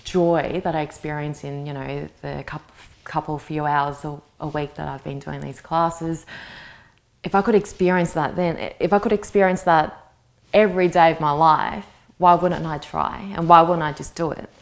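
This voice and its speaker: A woman in her thirties whose first language is Vietnamese, giving a thoughtful interview answer and often pausing to search for words. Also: low voice, steady tone, creaky. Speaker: interviewee, actress. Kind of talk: reflective personal interview talk.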